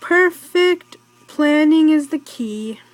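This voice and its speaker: Woman reading as an eager high-voiced girl. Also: lively intonation, slow pace. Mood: confident. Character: upbeat, eager girl planning a pet show. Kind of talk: children's storybook read-aloud.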